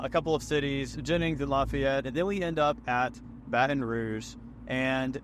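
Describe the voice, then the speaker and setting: A man co-hosting a casual podcast from a moving car, trading jokes with his partner and reading off funding figures. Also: mid-range voice. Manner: joking, reading figures off notes. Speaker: podcast co-host. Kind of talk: casual chat on a road trip. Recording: road noise from a moving car.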